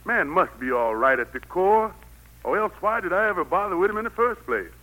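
Commanding, folksy Lord God, bright forceful voice. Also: male voice, high-pitched, very animated, a little fast, full character voice, rough recording. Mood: musing, decisive. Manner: folksy, authoritative, plain rural speech. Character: the Lord, commanding yet homespun, brooding patriarch God. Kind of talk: 1940s-50s radio play acting. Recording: vintage radio broadcast recording.

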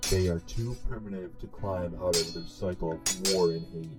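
A solemn male cosmic herald, acted by a man, speaks in a low, grave voice. The delivery is deliberate and theatrical, weighed down by torment, in a comic-dub monologue with music underneath. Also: steady tone, close to an everyday voice. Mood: pleading, resigned. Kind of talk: comic dub dramatic narration.

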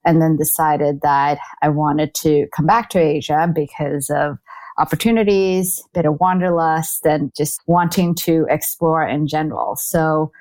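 A woman's voice: low-pitched, steady tone, unhurried, darkish tone. A woman in her forties from Taiwan, answering interview questions in a reflective way, with fillers and restarts. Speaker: guest, alumna.

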